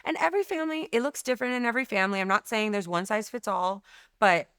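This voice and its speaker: Frank, emphatic woman with a smooth voice. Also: mid-range voice, fast, a bit bright, no room sound, studio quality. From Lebanese background. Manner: frank and emphatic, swears casually. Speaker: guest, company CEO. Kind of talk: candid podcast conversation.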